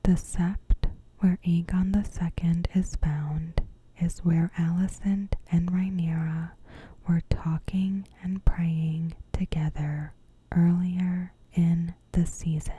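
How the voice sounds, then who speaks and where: A woman softly whispering a scripted recap in a gentle, measured, breathy ASMR style. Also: lowish voice, little pitch movement, a little hiss or hum, rough recording. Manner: gentle, measured, careful phrasing. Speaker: ASMR host.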